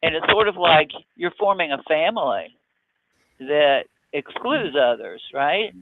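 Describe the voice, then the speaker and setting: A man with a slightly raised pitch talks at a very slow, halting pace, stopping to search for his words. He comes through a thin, phone-like line with clear background noise. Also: sing-song. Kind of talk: casual discussion.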